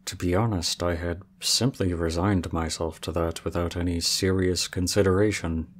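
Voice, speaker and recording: A man's voice: low-pitched, fairly even intonation, normal speed, slight background noise, lightly acted. A man playing a proud, aloof male dragon in human form, speaking formally and with cool reserve in an ASMR roleplay. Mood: reserved, reflective.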